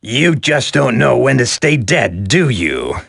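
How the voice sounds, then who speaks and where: A smug, sadistic male terrorist villain from a video game. He taunts slowly, with theatrical swoops of pitch and a cold, mocking drawl. The voice is sharp and gravelly. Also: sing-song, full character voice. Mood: smug threat.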